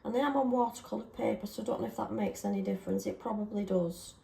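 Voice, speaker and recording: A woman chatting casually and self-deprecatingly while testing paint colours, slightly hoarse from a sore throat. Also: medium pitch, fast talker, warm tone, roomy sound. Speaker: craft vlogger.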